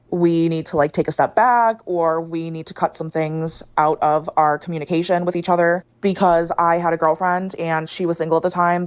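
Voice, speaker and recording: A woman in her late twenties telling a personal story in a casual, measured, explanatory way. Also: lowish voice. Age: late 20s. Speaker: video storyteller.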